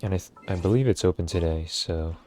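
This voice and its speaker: A man giving casual, low-key gameplay commentary, thinking aloud as he livestreams. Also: fast talker, warm tone, faint music behind.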